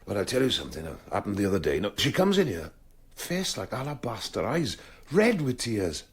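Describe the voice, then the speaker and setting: Nosy old welsh shop man in a radio play, really up and down, husky, loves confiding. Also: fairly low pitch, a little fast, exaggerated voice. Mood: confiding, dramatic. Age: older. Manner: animated, confiding storytelling. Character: gossipy, neighbourly ageing male village shopkeeper. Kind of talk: radio drama acting.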